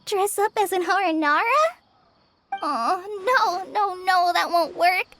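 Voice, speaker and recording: A woman voicing a shy, earnest, gentle young girl with a girlish voice and a hesitant manner in a video game cutscene. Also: very high voice, takes it slowly, background noise. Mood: flustered, pondering. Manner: hesitant, self-questioning. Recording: music behind the voice.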